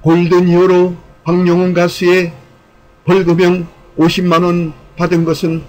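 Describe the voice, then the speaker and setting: A man's voice: high voice, completely flat delivery, moderate pace, poor audio. A man delivering scripted commentary in a formal, speech-like way, with an earnest, rhetorical, declamatory manner. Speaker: YouTube channel commentator.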